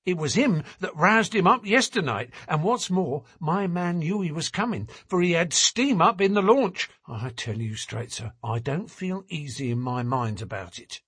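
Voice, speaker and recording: Stout boatman's wife, played by a man: high, swooping delivery, garrulous and fretful, colloquial and deferential, for an audiobook. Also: expressive, normal speed, few pauses, fairly bright tone, breathy edge, dry recording. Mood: worried. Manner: chatty and colloquial, deferential with frequent "sir". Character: working-class boatman's wife, stout, scolding, anxious, garrulous. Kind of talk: audiobook character voice.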